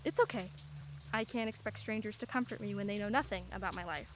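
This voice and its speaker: A woman's voice: mid-range voice, quick pace, noisy background, lightly acted. A woman playing a dry-humored, guarded single mother of a teenage daughter in a radio play. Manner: dry, wry, quietly guarded.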